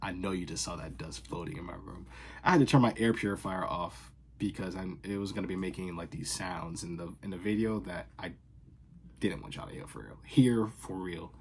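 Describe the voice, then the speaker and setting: A young man from the Boston area, vlogging in casual, sarcastic rambles that swing from loud outbursts to whispers, recorded on his phone in his bedroom. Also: low-pitched, fairly quick, vocal fry, a little hiss or hum. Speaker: fragrance vlogger. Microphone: phone camera.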